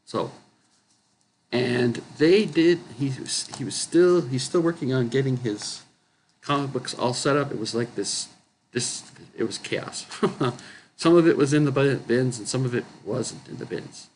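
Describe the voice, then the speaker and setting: A man chatting casually about his comic book haul, his voice going hoarse and rough, clearing his throat often and correcting himself as he rambles. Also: medium pitch, a little slow, a little hiss or hum. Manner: rambling, self-correcting, chuckling. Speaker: comic book collector vlogger.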